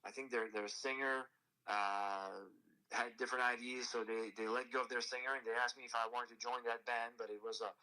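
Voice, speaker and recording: French-speaking guy, forties, super bright voice, lots of uh and restarts. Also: mid-range voice, steady tone, poor audio. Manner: halting, many restarts and fillers. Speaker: country singer guest. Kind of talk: casual interview chat.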